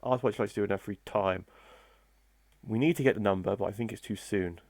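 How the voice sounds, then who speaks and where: A man's voice: fairly low pitch, quick pace, a little mellow, dry recording. A male gaming host doing let's play commentary, joking, mocking and reading the options aloud.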